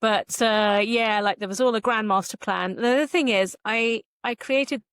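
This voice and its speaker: A woman's voice: on the high side, normal speed, a bit bright, smooth. A woman novelist chatting casually as an interview guest, hesitant and self-effacing, with a giggly manner.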